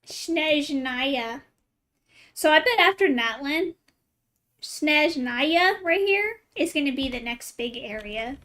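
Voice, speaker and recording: A woman's voice: high voice, lively intonation, slow pace, sharp tone. A woman streaming a video game, chatting casually as she plays. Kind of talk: casual chat over gameplay.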